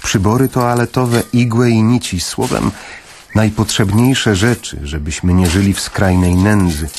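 A man narrating a literary radio drama in the first person, in a measured, somber manner. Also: on the low side, a little mellow, slight background noise.

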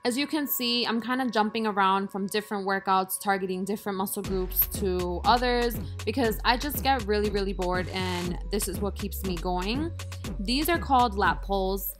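A woman's voice: mid-range voice, moderate pace. A young woman narrating her workout video in a casual, self-deprecating, upbeat voice-over. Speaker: fitness vlogger. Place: voice-over, not recorded in the gym. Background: music.

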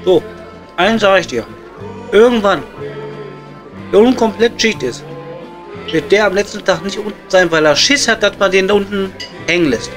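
A man's voice: high-pitched, moderate pace, long pauses, a bit bright. A man playing a blunt, coarse coal miner with a Ruhr German accent, bantering casually in an improvised audio comedy. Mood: grumbling. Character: gruff Ruhr coal miner at his pub. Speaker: comedy performer. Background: music.

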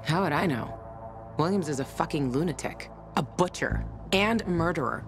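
An actress playing a tough, jaded survivor in a video game, blunt, sardonic and profane. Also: female voice, deep voice, lively intonation, moderate pace, over music. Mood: sardonic, scornful. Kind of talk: video game dramatic acting.

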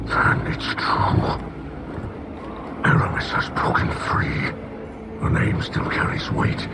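An actor playing a stern, wary alien captain and father in a video game, with a gravelly, weighty delivery. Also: takes it slowly, close to an everyday voice. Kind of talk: video game cutscene acting. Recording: loud noise behind the voice.

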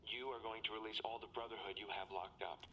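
An actor playing a calculating, smug corporate fixer in a video game, smooth and condescending, through a telephone filter. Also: male voice, on the low side, steady tone, quick pace, sharp tone, lo-fi sound, a little hiss or hum. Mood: calm, coercive. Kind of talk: video game cutscene acting.

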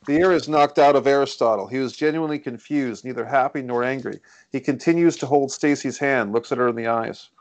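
Male table-read host, medium pitch, scripted narration, dry close sound. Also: brisk, dry recording, poor audio. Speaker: table read host reading stage directions. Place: indoors on a group video call.

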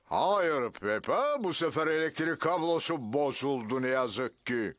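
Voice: medium pitch, expressive, a little slow, no echo, clean studio sound, lightly acted. An actor playing a blunt, matter-of-fact bull who bosses a roadwork crew in a children's cartoon dub. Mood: friendly. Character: gruff bull foreman of a roadwork crew, male.

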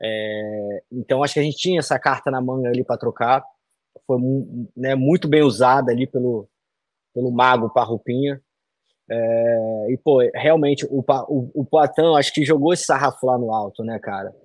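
Relaxed man on a video call. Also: mid-range voice, moderate pace, dry recording. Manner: relaxed, loose, many fillers and tag questions. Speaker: fighter interviewee. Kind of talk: casual interview on a video call. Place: small room indoors.

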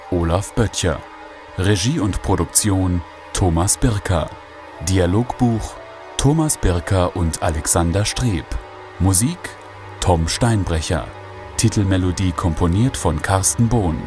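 Male announcer, low voice, slow deliberate pace, formal scripted credits read, clear articulation, music behind.

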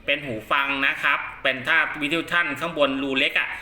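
Guy with a really bright sharp voice doing a chatty gear demo, echoey room. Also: on the high side, steady tone, brisk. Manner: step-by-step, chatty and polite. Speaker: seller demonstrating radio equipment. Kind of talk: casual product test demonstration.

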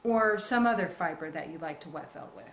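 Woman, lowish voice, reassuring craft instructor, casual live delivery in a reverberant room. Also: animated, brisk. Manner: reassuring, encouraging. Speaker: craft tutorial host. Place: indoor work table. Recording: roomy echo, clear background noise.

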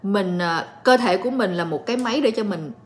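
A woman in her late twenties chatting calmly and directly to viewers in a casual home vlog. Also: mid-range voice, brisk, slight background noise. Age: late 20s. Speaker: vlogger and story reader. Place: living room at home.

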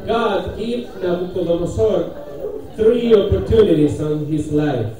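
A middle-aged man with a Spanish accent preaching in halting, simple English, pausing for an interpreter and putting questions to the congregation. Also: sits high, expressive, a crowd in the background. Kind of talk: interactive sermon with pauses for an interpreter. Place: church hall with congregation, handheld microphone through speakers. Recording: echoey room, distant camera pickup, congregation noise.

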